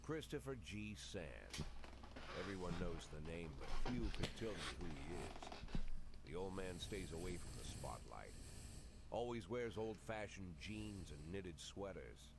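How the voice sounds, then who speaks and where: An actor playing a weary, world-worn police chief, narrating a crime game in brooding noir style. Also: male voice, deep voice, lively intonation, measured pace, vocal fry, background noise, exaggerated voice. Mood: stern.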